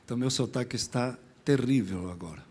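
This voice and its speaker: A middle-aged man with a Spanish accent speaks from a conference stage. His voice sits at an ordinary male pitch, and he talks in a relaxed, rambling way, poking fun at himself and restarting his sentences. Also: a little fast, breathy edge. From Spain. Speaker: lecturer. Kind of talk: conference talk.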